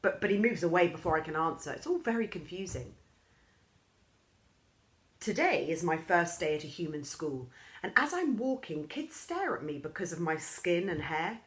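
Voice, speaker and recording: A woman reading a children's story aloud in an expressive storybook style, acting out the characters' lines. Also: moderate pace. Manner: lively, acts out characters' lines with raised pitch.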